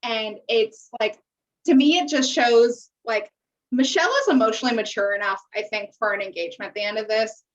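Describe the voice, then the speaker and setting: High-voiced American woman, muffled and distant. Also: fairly quick. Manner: candid, opinionated, swears casually. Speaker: podcast co-host. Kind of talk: casual chat recapping a reality TV show. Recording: muffled, distant-sounding microphone.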